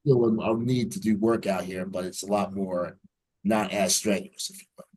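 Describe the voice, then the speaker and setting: A man's voice: deep voice, steady tone, moderate pace, darkish tone. A man in his forties from New Jersey, a radio news anchor telling his story as an interview guest in an upbeat, fluent way over a video call.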